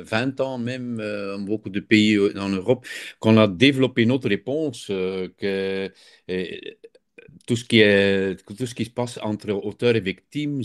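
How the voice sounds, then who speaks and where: A man with a Flemish accent, a native Dutch speaker, explaining his field as an interview guest while often searching for words. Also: on the low side. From Belgium. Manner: hesitant, searching for words, many fillers. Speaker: guest expert.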